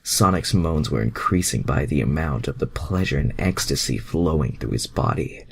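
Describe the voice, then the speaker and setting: A man's voice: extremely low pitch, lively intonation, moderate pace, gravelly, slightly rough. A man reading fan fiction aloud as an amateur narrator, with sweary, self-mocking, exasperated asides.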